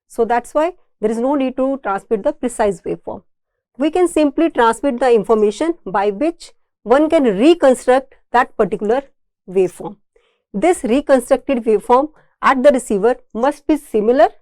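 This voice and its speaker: A woman lecturer with an Indian accent and a fairly high, soft-edged, mellow voice. She explains technical material step by step, often restating a point in other words. The sound is dry and close, with very little room in it. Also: no echo. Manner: didactic, repeats and rephrases points. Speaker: engineering lecturer.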